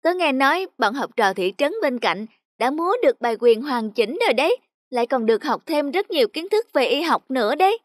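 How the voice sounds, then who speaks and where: A woman's voice: high-pitched, big pitch swings, fairly quick, no room sound, clean studio sound, soft background music. A woman voicing a restless young schoolboy in a cartoon folktale, childlike and complaining. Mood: bored, grumbling.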